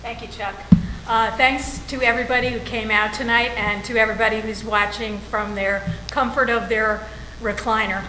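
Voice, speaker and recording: A woman's voice: on the high side, fairly even intonation, sharp tone, slight background noise. Woman candidate in an echoey hall. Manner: measured, with frequent filler pauses. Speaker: mayoral candidate. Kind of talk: opening statement at a public forum.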